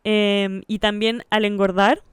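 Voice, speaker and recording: A woman's voice: mid-range voice, little pitch movement, slow, clean tone, no room sound, clean studio sound. A woman with a Chilean Spanish accent telling her personal story in a reflective, candid way, like a vlogger.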